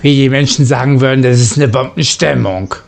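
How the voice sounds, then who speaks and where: A man's voice: medium pitch, brisk, vocal fry, background noise. A man plays through a sci-fi game in character as a starship captain. His voice sits in a middle register as he speaks the captain's replies and orders. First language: German. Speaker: gamer role-playing a starship captain. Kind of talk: in-character game role-play narration.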